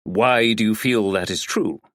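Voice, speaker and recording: A man's voice: fairly low pitch, measured pace, a bit bright, dry recording, studio quality. A man as a courteous, formal male investigator. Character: polite, probing male investigator.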